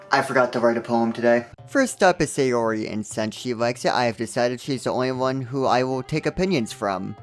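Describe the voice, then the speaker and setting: Guy doing a jokey game review voiceover, dry sarcastic remarks, medium pitch, music behind. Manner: sarcastic, dry joking asides. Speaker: game review video narrator. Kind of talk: scripted comedic narration of a visual novel.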